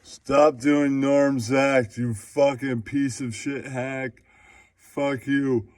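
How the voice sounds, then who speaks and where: A man's voice: mid-range voice, level tone, very slow, darkish tone, dry recording, clean studio sound. A man playing an angry heckler in a puppet comedy, berating a comedian with repeated profane insults. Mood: furious, abusive.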